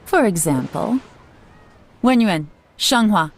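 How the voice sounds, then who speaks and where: A woman voicing a cool, composed young spymaster in a video game, measured and commanding. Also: mid-range voice, lively intonation, slight background noise. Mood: composed, assured.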